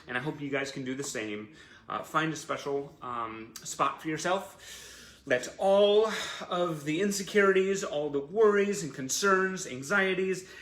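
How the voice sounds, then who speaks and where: A man's voice: a little high, fairly bright tone. A man hosting a casual livestream for fans, speaking in an effusive, gushing and encouraging way. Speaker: host of a fan livestream show. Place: indoor room, live online stream. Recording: roomy echo, faint background noise.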